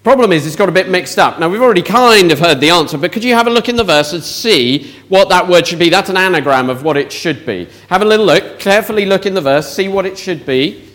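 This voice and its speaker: A man's voice: high-pitched, expressive, quick pace, a bit bright. A man leading an interactive children's talk in church, asking the audience questions.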